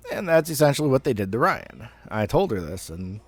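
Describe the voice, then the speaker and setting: A man in his thirties telling a personal story casually, in a rambling, halting way with some swearing. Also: medium pitch, very animated, slightly rough, no echo. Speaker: amateur storyteller.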